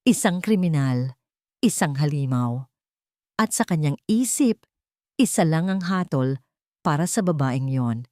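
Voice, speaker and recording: A woman's voice: sits low, expressive, measured pace, pauses often, darkish tone, dry recording, clean studio sound. A woman narrator reading a scripted dramatic story.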